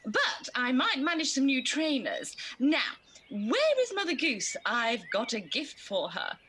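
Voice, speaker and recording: A batty pantomime good fairy, very high and piercingly bright. She announces things grandly and theatrically at a leisurely pace. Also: very animated, measured pace, breathy edge, heavily acted. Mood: grand, breezy. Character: batty well-spoken good fairy, sneezing, eccentric and kindly. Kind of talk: pantomime radio acting.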